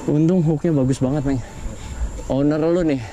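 A man's voice: on the high side, fairly even intonation, normal speed, mellow. A man hosting a fishing vlog on a river boat, talking urgently and repeating short instructions. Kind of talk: excited, urgent talk while landing a fish. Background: music.

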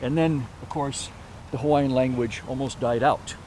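A man's voice: medium pitch, steady tone, normal speed, darkish tone, a little hiss or hum. A man in his sixties hosting an outdoor interview, prompting his guest and echoing him with short affirmations. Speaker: interview host. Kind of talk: conversational interview. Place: outdoors on palm-lined grounds by a church.

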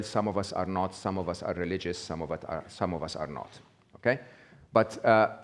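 Middle-aged male speaker, low voice, casual stage talk, keeps asking "okay?". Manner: conversational, checks in with frequent "okay?". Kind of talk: live talk to an audience. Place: small indoor venue with brick wall.

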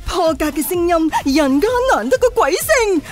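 An actress playing an excitable, fawning female entertainment radio host in a radio drama. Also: very high-pitched, normal speed, soft background music. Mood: bubbly, fawning.

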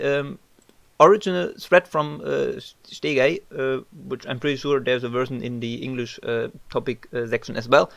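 A man's voice: mid-range voice, dry recording. A man with a German accent, a non-native English speaker, talking through a screen-recorded modding tutorial with many ums and uhs.

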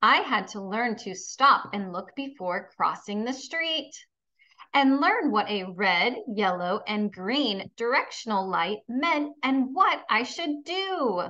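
Slow, bright-voiced woman teaching young children. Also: a little high, lively intonation, dull audio. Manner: encouraging, instructional, addressing young pupils with questions. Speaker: kindergarten teacher. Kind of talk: children's read-aloud lesson.

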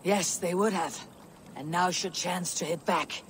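An actor playing a stern Viking war leader, blunt and commanding, in a video game cutscene. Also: male voice, high-pitched, steady tone, a little slow, fairly bright tone, a little hiss or hum.

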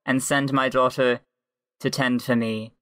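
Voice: medium pitch, flat delivery, measured pace, fairly bright tone, clean tone, dry recording, close to an everyday voice. A performer playing an imperious, dismissive bedridden mother in an improvised comedy fan dub.

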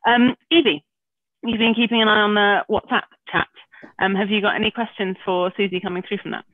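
A man's voice: high voice, flat delivery, a little fast, no room sound. A man hosting an online talk night over a video call, chatty and joking about himself. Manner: chatty, self-deprecating humour. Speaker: host. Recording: dry, tinny phone-like sound.

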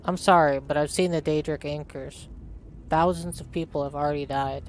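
A man's voice: a little high, fairly even intonation, normal speed, a little hiss or hum. A man hosting a let's play, reading his character's dialogue aloud and narrating as he plays.

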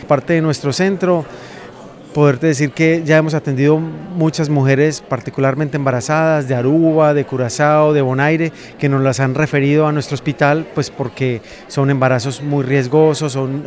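A man in his forties, a clinic representative, giving a steady, informative interview at an indoor expo into handheld mics. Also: medium pitch. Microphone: handheld reporter mics in frame.

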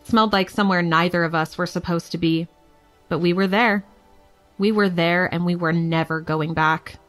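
A woman's voice: on the low side, fairly even intonation, normal speed, a bit bright, faint music behind, close to an everyday voice. An actress playing a defiant, playful young woman telling her story in a frank, wry, casual way for an audio drama. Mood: defiant, excited.

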